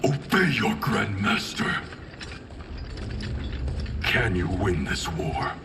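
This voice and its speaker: A man's voice: lowish voice, expressive, slow, noisy background. A man playing a proud, stern ninja clan grandmaster in a video game, curt and commanding. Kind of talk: video game cutscene acting. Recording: distant voice under loud cinematic music.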